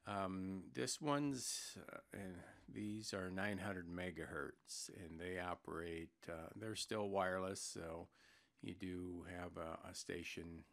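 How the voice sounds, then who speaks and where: An American man giving an informal product explainer as a presenter, plain-spoken and hesitant, pausing mid-sentence. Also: low-pitched, steady tone, gravelly, fairly bright tone. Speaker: product presenter for an intercom seller. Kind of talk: informal product explainer over screen recording.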